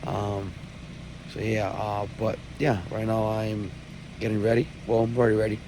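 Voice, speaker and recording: Hoarse young man rambling, gravelly, noisy. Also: lowish voice, monotone, normal speed. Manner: hesitant, lots of ums and uhs, rambling. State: losing his voice. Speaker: vlogger. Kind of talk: casual vlog to camera. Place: outdoors then at an airport. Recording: loud background noise.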